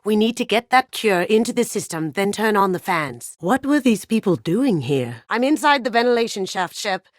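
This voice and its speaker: A woman's voice: mid-range voice, sing-song, normal speed, no echo, lightly acted. A young woman acting as a sly, playful master thief in a sci-fi video game, with a wry, coolly confident way of talking. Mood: wry, relaxed.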